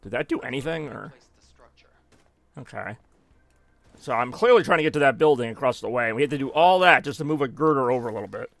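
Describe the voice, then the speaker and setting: A man's voice: medium pitch, animated, fast, gravelly, long pauses, a bit bright. A man giving rambling, self-mocking live gameplay commentary as a streamer, now and then dropping in German phrases. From United States. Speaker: gaming streamer.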